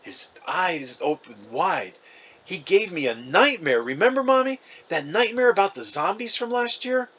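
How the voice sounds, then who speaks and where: A man voicing a whining, excitable and easily spooked second-grade boy in a storybook. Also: sits high, unhurried, breathy edge, pitched above the performer's own voice, heavily acted. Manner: whiny, excitable.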